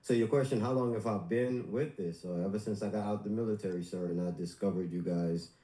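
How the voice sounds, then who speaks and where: Deep-voiced man from Guyana, echoey room. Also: brisk, mellow. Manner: deferential, says sir often. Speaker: caller, church member. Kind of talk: casual call-in testimony.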